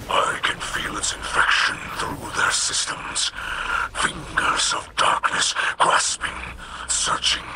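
A man voicing a solemn, devout alien warrior-mystic in a video game, with a breathy, gravelly whispering growl, speaking reverently. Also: heavy background noise, close to an everyday voice. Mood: wary. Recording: music behind the voice.

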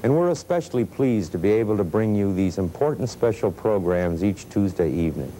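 A middle-aged American man with a low, mellow voice reads a formal sponsor introduction as a bank executive. The old videotape adds a faint rumbling noise. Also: lively intonation, fairly quick, poor audio. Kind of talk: scripted sponsor address.

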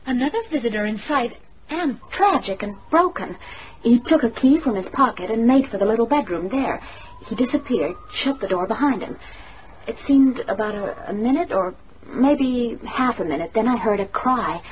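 An actress playing a bold young heiress in a radio mystery, insistent and intense. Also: female voice, on the high side, animated, moderate pace, close to an everyday voice. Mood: tense recounting. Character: bold, defiant young heiress caught under suspicion. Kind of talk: dramatic radio acting. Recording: dull, faintly noisy radio recording.

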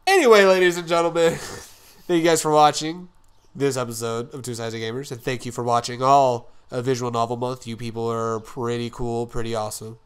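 A man's voice: mid-range voice, steady tone, fairly quick, clean tone. A young man gives casual let's-play commentary as the host of a gaming show. His delivery is playful and teasing, with plenty of joking around.

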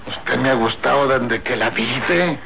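Gravelly, tense Mexican bandit. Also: male voice, medium pitch, a little slow, bright, forceful tone. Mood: lecherous. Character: brutish lecherous rural bandit gunman, threatening and flirtatious. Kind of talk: old radio soap opera acting. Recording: dull, noisy old radio serial recording.

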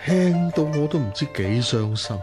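An actor playing a doting, anxious wealthy husband in a radio drama, given to sighing. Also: male voice, fairly high pitch, expressive, moderate pace, music in the background, natural voice. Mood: worried. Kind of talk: Cantonese radio drama acting.

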